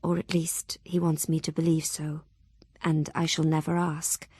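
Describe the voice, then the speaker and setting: A woman's voice: low voice, level tone, a little slow, a little mellow, slightly rough, no echo, clean studio sound. An actress playing a devoted, anxious young Victorian woman reading her journal and letters aloud in an audio drama, earnest and tender. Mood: tender, concerned.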